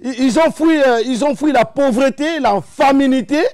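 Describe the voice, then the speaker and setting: A man's voice: very high voice, normal speed, darkish tone. A man born in Liège, talking as a guest in a casual interview, rambling and hesitant with many fillers. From Liège, Belgium.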